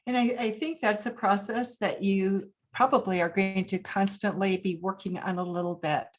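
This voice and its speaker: A woman from Japan speaking as an expert guest, explaining points deliberately and emphatically, posing questions and answering them. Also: medium pitch, steady tone. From Japan, grew up there. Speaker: interview guest, business expert. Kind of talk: explanatory interview talk.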